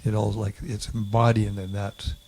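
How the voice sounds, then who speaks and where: Gravelly, dark-voiced man rambling casually. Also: lowish voice, flat delivery, normal speed. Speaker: class participant.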